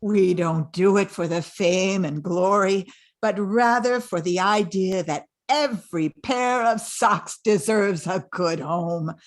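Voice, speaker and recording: Older woman as an earnest, slow-paced investigator. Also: mid-range voice, close to an everyday voice. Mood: solemn. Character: earnest, calming investigator solving a trivial household case. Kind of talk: amateur comic radio play acting.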